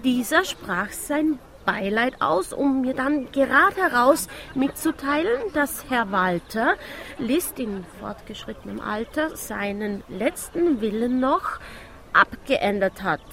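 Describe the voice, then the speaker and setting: Slow, high-voiced defensive wife. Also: female voice, expressive, fairly bright tone, slight background noise, full character voice. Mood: confessing. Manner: earnest, hesitant, self-justifying. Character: defensive, embarrassed cleaning woman, wife and heiress. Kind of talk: rhymed radio drama acting.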